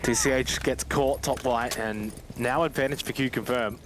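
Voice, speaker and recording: A man's voice: medium pitch, fairly even intonation, normal speed. A man commentating a live esports match in a conversational, informal way, with asides to listeners. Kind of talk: live game commentary and casual banter. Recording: clear game noise behind commentary. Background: video game sound.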